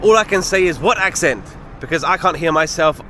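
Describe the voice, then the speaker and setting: A man's voice: on the high side, animated, brisk, sharp tone, slight background noise. A man chatting casually to camera while walking through a city as a travel vlogger, with a British accent that listeners often mistake for American. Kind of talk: casual travel vlog narration. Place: outdoors in a city street.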